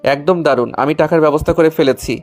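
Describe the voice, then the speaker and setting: A man's voice: fairly high pitch, a little fast, a little mellow, soft background music, close to an everyday voice. A man playing a lovestruck, evasive younger brother, an eager young man in an audio story. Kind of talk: Bengali audio story acting.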